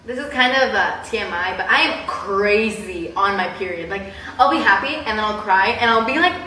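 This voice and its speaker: A young woman chatting casually in a personal vlog, with a bubbly, animated, giggly way of exaggerating things. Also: medium pitch, moderate pace. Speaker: vlogger. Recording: roomy echo, distant mic, background hiss.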